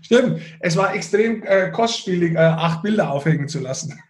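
A man's voice: high-pitched, expressive, moderate pace, darkish tone. A man hosting a sports podcast, chatting casually with a teasing, sarcastic manner. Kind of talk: casual bantering podcast chat.

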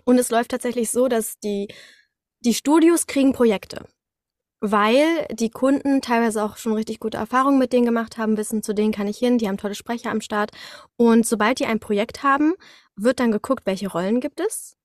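A woman talking casually as an interview guest, telling things in an animated, conversational way. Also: a little high, fairly quick, no echo, studio quality. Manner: animated storyteller, acts out short quotes, laughs often.

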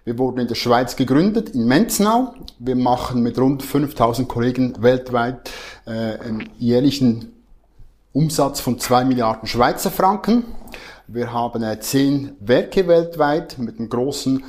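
A man's voice: medium pitch, lively intonation, measured pace, darkish tone. A man in his forties with a Swiss German accent, a podcast guest who talks earnestly with hesitations and self-corrections. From Switzerland. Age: 40s. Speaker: guest, marketing head. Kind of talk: podcast interview.

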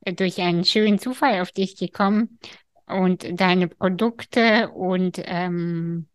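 A woman hosting a podcast, speaking softly and gently, with hesitant fillers in casual chat. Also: on the low side, slow pace, dry recording, clean studio sound. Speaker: podcast host. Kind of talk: calm spoken intro and casual interview.

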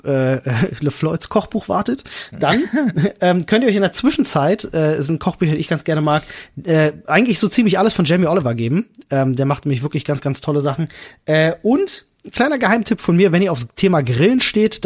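Higher-pitched, slightly husky man chatting. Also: expressive, brisk. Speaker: podcast co-host. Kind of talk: casual podcast chat.

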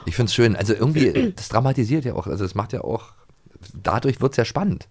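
A man co-hosting a podcast in casual chat, playful and expressive, given to mock drama. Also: mid-range voice, a little fast, husky. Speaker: podcast co-host.

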